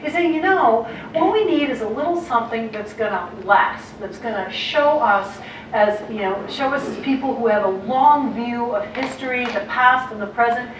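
American woman, art history lecture, lively asides, higher voice, echoey hall, distant mic. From United States. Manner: lively, quick asides, acts out quoted figures. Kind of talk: illustrated lecture to clergy. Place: large dim auditorium with projector screen. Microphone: distant room mic, speaker at lectern far from camera. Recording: echoey hall from far off, faint hiss.

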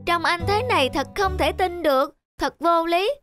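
A woman's voice: very high-pitched, normal speed, fairly bright tone, soft background music. A woman voicing a poor, polite teenage shoeshine boy in a cartoon, earnest and youthful. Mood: incredulous, questioning. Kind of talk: cartoon character acting.

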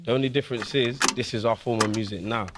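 A man's voice: mid-range voice, steady tone, fast, heavy background noise. A man earnestly explaining his views in an interview. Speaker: rapper interviewee. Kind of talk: interview answer. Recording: music playing underneath.

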